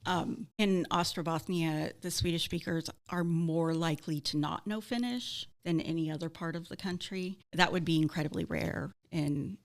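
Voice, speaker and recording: A woman guest with a somewhat low voice, explaining things at an easy pace in a casual chat. She uses fillers as she talks and laughs readily. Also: moderate pace, gravelly, few pauses.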